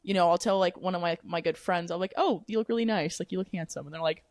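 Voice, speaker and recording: A young woman with a deep voice for a woman, chatting casually and filling her talk with "like" and casual swearing. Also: quick pace, a little mellow, no room sound. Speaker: podcast guest. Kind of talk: casual chat. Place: apartment room.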